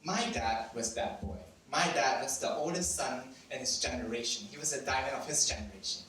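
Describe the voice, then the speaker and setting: A man's voice: medium pitch, fairly quick, bright, forceful tone. A young man from Cambodia with a Cambodian accent tells a personal story at a live storytelling event. He laughs often, mocks himself and swears cheekily. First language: Khmer. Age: young adult. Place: event venue with audience. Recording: very echoey room, mic at a distance.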